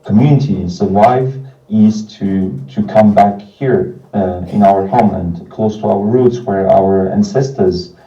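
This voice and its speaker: A man in his thirties speaks in a very dark, low voice. He gives a prepared talk haltingly, pausing often. The recording is echoey and distant. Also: faint music behind. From France. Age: 30s. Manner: hesitant, halting delivery with frequent pauses. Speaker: non-profit organization founder and representative. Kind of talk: informal prepared talk by video message. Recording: muffled, distant, echoey video playback.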